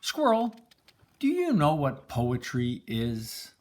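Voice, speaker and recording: Older man doing a curious little boy in a kids book, polite, asking stuff, bouncy pitch. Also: wildly swooping pitch, takes it slowly, darkish tone. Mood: curious, calling. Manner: questioning, calling out politely.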